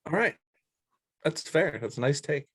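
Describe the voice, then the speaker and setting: A man with a slightly high, very animated voice co-hosts a sports show. He banters casually and poses questions with playful, theatrical flair. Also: moderate pace, husky.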